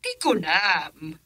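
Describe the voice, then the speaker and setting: An actress playing a smug, teasing older wealthy matron in a radio drama, with a Filipino accent. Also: female voice, lowish voice, pushed and bright, a little hiss or hum, exaggerated voice.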